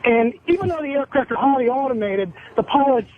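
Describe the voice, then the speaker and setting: A man phoning in to a radio show as an airline pilot, debating in a measured, dismissive way. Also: extremely high pitch, lively intonation. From United States. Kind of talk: argumentative call-in discussion. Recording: tinny, noisy phone line.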